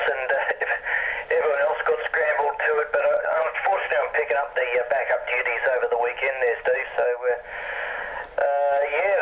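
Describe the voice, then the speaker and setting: A man's voice: medium pitch, slight background noise. Australian man over dull repeater radio. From Perth, Western Australia. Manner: laid-back, hesitant, ends turns with "over". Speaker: amateur radio operator. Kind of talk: casual radio chat.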